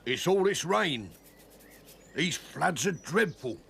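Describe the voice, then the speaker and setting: A confident, easygoing young English village workman in a children's cartoon, played by a man. He sounds informal and reassuring, with animated pitch and clear vehicle noise behind. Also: slow, heavily acted. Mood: helpful.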